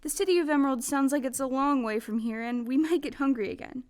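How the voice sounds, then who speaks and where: A woman's voice: high voice, quick pace, darkish tone, natural voice. A woman acting an earnest, polite little farm girl in a stage audio play. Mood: matter-of-fact.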